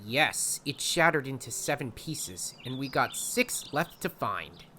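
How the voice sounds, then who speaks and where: Plucky young-hearted animal hero played by a woman: low, very animated, audio drama, slight noise behind. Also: measured pace, full character voice. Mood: resolute. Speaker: lead actor and story narrator. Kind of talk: audio drama acting and narration.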